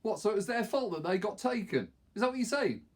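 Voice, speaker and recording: A man's voice: high-pitched, animated, fast, voice pushed up. A man voicing a cautious, argumentative teenage boy in a storybook, indignant and defensive.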